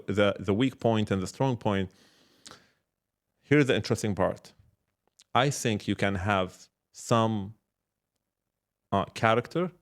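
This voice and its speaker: A man speaking casually and reflectively as an interview guest, repeating words and explaining with examples and check-questions. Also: lowish voice. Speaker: podcast guest, startup founder and mentor.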